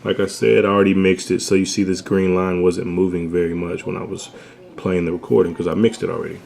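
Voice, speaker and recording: A young man with a bassy voice, giving a laid-back, slangy home-studio tutorial. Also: little pitch movement, fast talker, creaky, darkish tone, a little hiss or hum. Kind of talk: casual tutorial talk.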